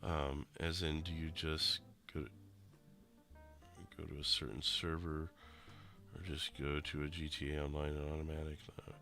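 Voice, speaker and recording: A young man with a deep, low-pitched voice and a sharp, bright edge, sounding stuffy and a bit rough from a recent illness. He rambles through casual explanations full of ums, with faint music playing behind him. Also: normal speed, pauses often. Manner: relaxed, rambling explanations with many fillers. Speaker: streamer explaining a roleplay server. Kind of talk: casual gameplay commentary.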